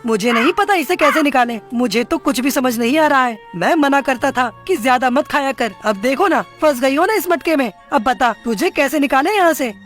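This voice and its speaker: Woman voicing a high-pitched scolding crow husband. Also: animated, normal speed, hardly any pauses. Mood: exasperated. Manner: scolding, insistent. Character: annoyed, worried male crow husband. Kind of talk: animated moral story dialogue. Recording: music bed behind the voice.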